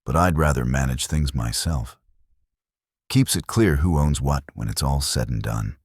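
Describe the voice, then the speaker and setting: A man voicing a proud, self-reliant frontier woman in a western story, husky, calm and firm yet polite. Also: extremely low pitch, a little slow, dry recording, studio quality, heavily acted. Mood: calm refusal.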